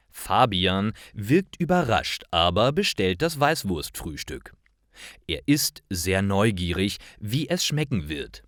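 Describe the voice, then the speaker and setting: A man reading scripted short stories for language learners in a deliberate, carefully articulated and expressive way. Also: on the low side, dry recording, studio quality. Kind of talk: scripted narration, slow storytelling for language learners.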